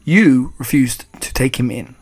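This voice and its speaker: A man voicing a calm, frosty old Norwegian grandmother in a storybook, matter-of-fact and unflustered. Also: mid-range voice, unhurried, close to an everyday voice.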